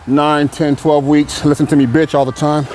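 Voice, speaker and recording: A man's voice: fairly high pitch, level tone, normal speed, darkish tone, slight background noise. A man in his thirties, a pro bodybuilder, talking casually and candidly to the camera between sets in a large indoor gym, with loose, rambling phrasing. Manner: laid-back, candid, rambling with fillers. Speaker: professional bodybuilder. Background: music.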